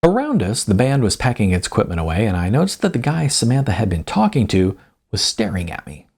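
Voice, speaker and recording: A man reading their own first-person story as scripted narration, with deliberate, emphatic phrasing and a gravelly, husky voice. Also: on the low side, wildly swooping pitch, a little mellow.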